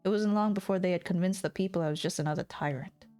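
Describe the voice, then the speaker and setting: A woman voicing a weary, embittered, ambitious lord who narrates the story in a measured noir manner. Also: lowish voice, warm tone, soft background music, natural voice. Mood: weary, resolute. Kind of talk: scripted dramatic narration.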